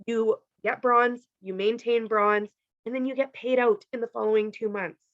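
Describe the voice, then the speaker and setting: A woman from Canada walking her team through a pay plan on a video call, chatty and full of "like" and "okay", her voice going hoarse. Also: a little high, fairly even intonation, moderate pace, dry recording, lo-fi sound. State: losing her voice. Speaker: team leader presenting a compensation plan. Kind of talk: casual presentation on a video call.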